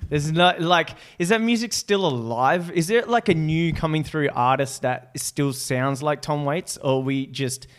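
A man from Australia hosting a podcast, talking in a relaxed, halting way with plenty of restarts. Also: fairly high pitch, smooth. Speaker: podcast host. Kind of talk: casual conversation.

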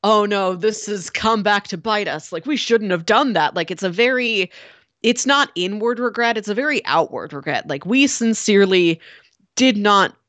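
A woman's voice: mid-range voice, lively intonation, normal speed, a bit bright, no echo. A woman co-hosting a casual film discussion, acting out imagined lines of dialogue as she explains. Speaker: podcast co-host discussing films.

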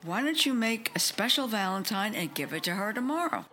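A woman's voice: medium pitch, very animated, a little fast, bright, forceful tone, a little hiss or hum. An older woman acting a teasing, exasperated young schoolgirl with a secret crush in a radio play. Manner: teasing, dramatic.

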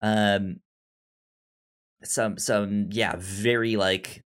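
Man chatting casually with halting phrasing. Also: lowish voice, flat delivery, fairly bright tone, clean tone. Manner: halting, repeats words while thinking. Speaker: podcast co-host reviewing films. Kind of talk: casual conversational film discussion.

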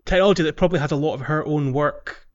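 A man co-hosting a podcast, talking casually about a video game in a halting way, searching for words. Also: on the high side, muffled recording. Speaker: podcast co-host.

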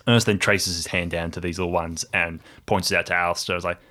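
A man's voice: sits low, little pitch movement, fast talker, pushed and bright. A man playing a tabletop roleplaying game, casually improvising and describing his character's actions.

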